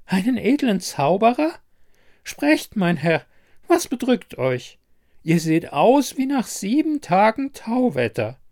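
Fairy-tale casting: elderly beggar-witch played by a man, high croaky voice, crafty, insistent, old-fashioned courtly phrasing. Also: sing-song, slow, darkish tone, full character voice. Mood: flattering, curious. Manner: insistent, archaic courtly address. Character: old beggar woman, secretly a cunning herb witch.

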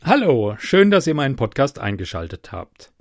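A man from the Mannheim area with a softened Palatine German accent, hosting a casual podcast and reading a literary anecdote aloud. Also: medium pitch, sing-song, music in the background. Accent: Palatine German, softened by speech training. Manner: chatty, unhurried, reads with dramatic emphasis. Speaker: podcast host and reader.

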